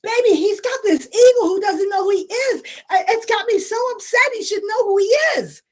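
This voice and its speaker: A woman's voice: extremely high pitch, normal speed, darkish tone, pitched above the performer's own voice. Woman playing an earnest, meddling brother in a told folktale, raised pleading voice. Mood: upset. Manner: pleading, insistent.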